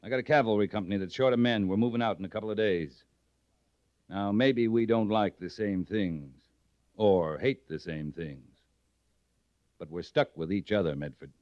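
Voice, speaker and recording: A man plays a frontier cavalry captain with a low voice. He is measured, terse and commanding, in the manner of fifties Western radio drama. The recording is old and muffled, with very little room sound. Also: darkish tone, no echo, lightly acted. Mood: firm, resolute.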